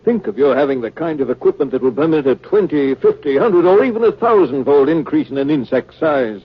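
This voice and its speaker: An actor playing a courteous, authoritative university dean in measured, formal 1950s radio drama style. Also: male voice, fairly high pitch, animated, slightly rough. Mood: intrigued, cordial. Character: courteous, probing male university dean of science. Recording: muffled 1950s radio recording.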